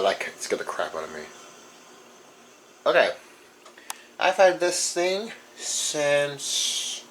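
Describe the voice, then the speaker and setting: A man's voice: deep voice, fairly bright tone, low-quality recording. A young man giving a casual, rambling show-and-tell of his collection in an informal way, recorded in a room. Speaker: radio collector. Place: indoor room. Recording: some background noise.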